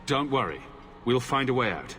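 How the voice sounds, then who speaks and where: Resolute fantasy hero: questioning, steady, mid register, video game drama, echoing chamber. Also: male voice, mid-range voice, normal speed, slight background noise. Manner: firm, questioning. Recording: long hall reverb.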